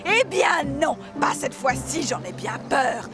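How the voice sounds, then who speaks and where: A woman's voice: high-pitched, expressive, takes it slowly. A woman playing a boastful, evil old witch in a French cartoon, theatrical, menacing and mocking. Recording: music behind the voice.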